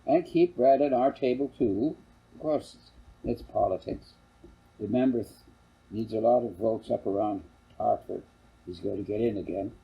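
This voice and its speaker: An older man voicing a plain-spoken country father in a storybook, gravelly and wryly folksy. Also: fairly low pitch, normal speed, highs cut off. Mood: matter-of-fact.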